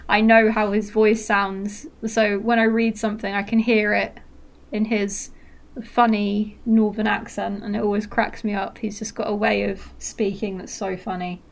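A woman's voice: medium pitch, level tone, moderate pace, creaky. A young woman with a faint Mancunian accent, co-hosting a casual film podcast and rambling with lots of fillers. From Manchester, England. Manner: chatty, rambling, with frequent fillers and laughs. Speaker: podcast co-host.

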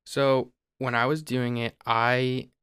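A young man from Ohio talks through a topic in a relaxed, explanatory way, backing up to restart a phrase now and then. His voice is smooth and resonant but stays flat in pitch. The sound is dry and close. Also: slow, no echo. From Ohio, USA. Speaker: podcast host. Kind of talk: casual explanatory chat.

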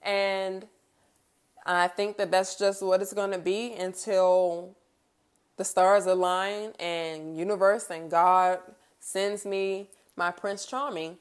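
A woman's voice: medium pitch, fairly even intonation, slow pace, smooth. Candid thirty-year-old woman chatting casually. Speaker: podcast host. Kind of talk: candid personal monologue, casual chat.

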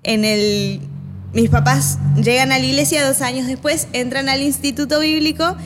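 A woman's voice: high-pitched, steady tone, normal speed, background noise. Young woman with mixed Argentine-Chilean accent, reverberant. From born in Chile, raised in Argentina. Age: 20s. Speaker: podcast guest, missions student. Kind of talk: casual podcast interview.

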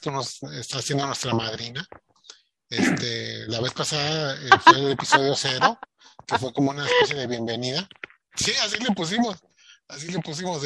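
A man's voice: medium pitch, bright, forceful tone, slight background noise. A man hosting a podcast in casual chat, rambling and filled with filler words. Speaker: podcast host.

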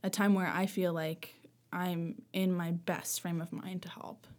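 A woman's voice: lowish voice, flat delivery, measured pace, a little mellow. A woman from Nova Scotia with a Canadian accent, chatting casually as an interview guest. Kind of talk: casual chat.

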